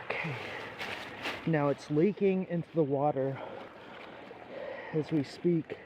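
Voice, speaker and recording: Man playing an infected ex-company man, uneasy and rambling, horror found footage, noisy handheld camera outdoors. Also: fairly high pitch. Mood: grim, frustrated. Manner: halting, rambling, repeats himself. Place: outdoors in woods, walking. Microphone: handheld video camera.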